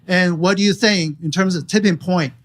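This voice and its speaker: A man steps up to ask a question from the floor, his voice sitting a little higher than most men's. He talks haltingly, restarting phrases and leaning on "like.". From Taiwan. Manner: halting, restarts often, repeats "like". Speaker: audience questioner. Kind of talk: audience question at a public forum. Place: event hall audience floor.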